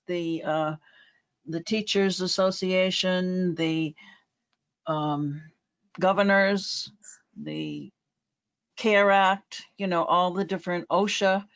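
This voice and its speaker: A woman with an American accent giving an informal report in an online meeting, halting and plain-spoken. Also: fairly low pitch, lively intonation. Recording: dull, lo-fi meeting call audio.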